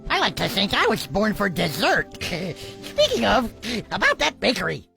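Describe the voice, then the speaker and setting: A man voicing a grumbly, suspicious little cartoon dragon sidekick with a blunt manner and creaky voice. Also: high-pitched, wildly swooping pitch, unhurried, sharp tone, heavily acted. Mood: playful. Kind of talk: animated cartoon voice acting. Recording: music behind the voice.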